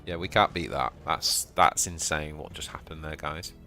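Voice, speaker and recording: A man with a Yorkshire accent streaming a card game live, chatting to viewers and yelping with excitement at surprises. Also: normal speed, soft background music. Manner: excitable, bursts into high yelps at surprises. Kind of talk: live gameplay commentary.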